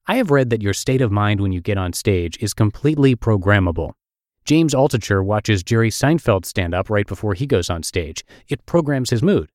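A man's voice: lowish voice, a little mellow, no echo, clean studio sound. Wry Russian-accented man reading an essay. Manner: wry, self-deprecating storytelling. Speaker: writer reading his own post. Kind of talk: read-aloud essay narration.

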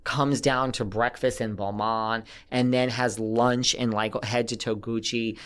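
A man's voice: mid-range voice, steady tone, measured pace, fairly bright tone, clear, resonant tone. A man from Connecticut, a podcast guest, telling gossip stories in a chatty, emphatic way. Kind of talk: casual gossip chat.

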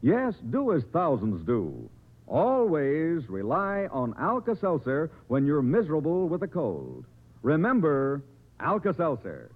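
A man delivers an old radio commercial in a polished, emphatic announcer style. His pitch swings very widely from a slightly high base. The sound is thin and band-limited, like a 1950s broadcast. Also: wildly swooping pitch, a little slow, narrow, tinny audio.

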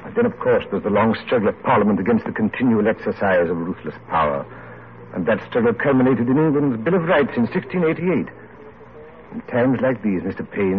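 A man's voice: fairly low pitch, lightly acted. An actor playing a genial, reflective older English man of letters, courtly and oratorical, in an old radio play. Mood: proud, earnest. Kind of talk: 1940s radio drama acting. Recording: muffled, noisy vintage radio recording.

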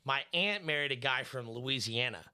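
A man's voice: mid-range voice, lively intonation, normal speed, bright, forceful tone, clear, resonant tone. A man hosting a podcast, telling stories in a loose, swearing, casual way. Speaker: podcast host.

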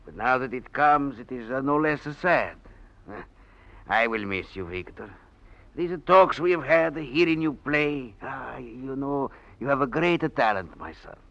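Kindly old italian maestro in an old radio play, lowish voice that rises and falls a lot, hesitant, muffled recording. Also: male voice, expressive, unhurried, vocal fry, fairly bright tone. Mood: wistful, fond. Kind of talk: 1940s radio drama acting. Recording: dull vintage radio recording.

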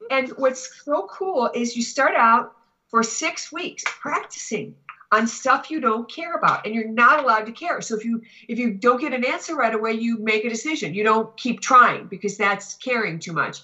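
A woman chatting casually as a talk show guest and teacher, telling anecdotes in an animated way. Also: a little high, normal speed, rough recording.

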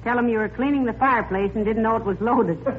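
Long-suffering, gently mocking wife played by a woman, vintage radio comedy, narrow noisy broadcast sound. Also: on the high side, fast, lightly acted. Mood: wry. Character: dry, teasing, long-suffering wife of a braggart. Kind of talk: 1940s radio comedy acting. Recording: tinny noisy vintage radio, audience behind.

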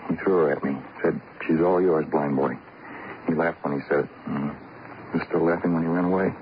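Very deep-voiced, bitter blind veteran. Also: male voice, fairly even intonation, brisk. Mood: anguished. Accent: American. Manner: bitter, terse, wounded. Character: bitter, grieving blind young war veteran husband. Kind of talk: 1940s-50s radio crime drama acting. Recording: muffled, noisy old radio recording.

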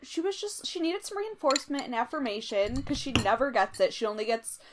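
A woman's voice: high-pitched, animated, brisk, background noise. A woman of twenty-eight co-hosting a podcast, recapping a TV show with wry, blunt, profane remarks. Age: 20s. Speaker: podcast co-host. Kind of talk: casual recap chat.

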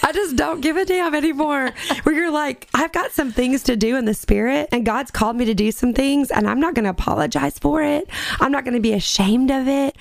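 Woman, fifties, gravelly fry, emphatic and lively, frequent laughs, dry close sound. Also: high voice, brisk, no echo. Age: 50s. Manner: animated, laughs often, emphatic. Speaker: podcast guest, worship singer. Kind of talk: casual faith conversation.

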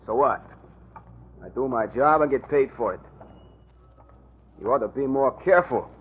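A thin, tinny vintage radio recording of a man playing a mob lawyer. His mid-pitched voice is husky, curt and unimpressed. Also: normal speed, close to an everyday voice. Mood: curt, scolding. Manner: brusque, scolding. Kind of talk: 1940s radio crime drama acting.

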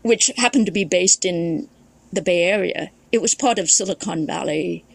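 A woman's voice: on the low side, moderate pace, vocal fry, slightly rough. A woman from Thailand, a podcast guest and memoirist, recalling her family history in a reflective storytelling way, often restarting her sentences. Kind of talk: casual conversation.

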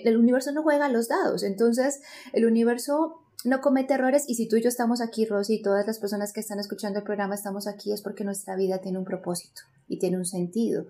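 A woman's voice: mid-range voice, warm tone. A woman with a Colombian accent, speaking as an interview guest in an earnest, reflective and motivational way, explaining things. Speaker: interview guest, spiritual coach.

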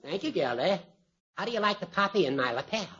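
An actor playing a genial, teasing old judge in a radio comedy, with a wry and rather flowery way of talking. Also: male voice, sits high, expressive, fast, fairly bright tone. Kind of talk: 1940s-50s radio comedy acting. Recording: muffled, lo-fi old radio recording.

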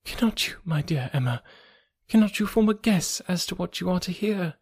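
A reader voicing a nervous middle-aged woman with a trembling, hushed voice in an audiobook. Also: medium pitch, animated, moderate pace, slightly rough, voice pushed up. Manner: hesitant, hushed, half-whispered. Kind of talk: audiobook character voice.

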